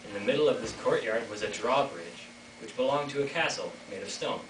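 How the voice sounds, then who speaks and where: A man's voice: medium pitch, expressive, a little fast. Teen boy narrating a school play, echoey. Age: teens. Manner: playful, jokes with the audience. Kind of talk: reader's theater narration to a live audience. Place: school classroom or hall. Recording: echoey room from far off, noisy.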